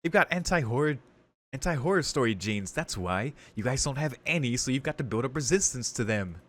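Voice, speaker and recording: A man's voice: mid-range voice, lively intonation, brisk. A man hosting a visual novel playthrough, casually commenting and reading dialogue aloud. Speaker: visual novel let's play host. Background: game music.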